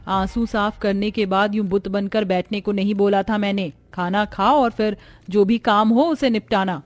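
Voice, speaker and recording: A woman's voice: medium pitch, steady tone, moderate pace, dry recording, close to an everyday voice. A woman voicing a stern, wealthy middle-aged mother-in-law in a cartoon story, with a commanding, brooding manner. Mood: stern, scolding. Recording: clear music bed behind voice.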